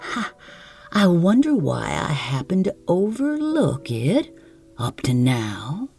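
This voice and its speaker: A woman's voice: fairly low pitch, sing-song, slow, gravelly, warm tone, soft background music, full character voice. A woman reading the part of a cold, grim young male outlaw who speaks in a mild drawl.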